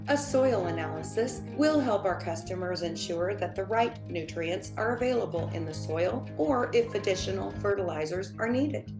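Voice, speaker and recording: A woman announcer reads a scripted ad slowly and carefully, with guitar music playing clearly underneath. The room sounds roomy. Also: medium pitch. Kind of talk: scripted ad read.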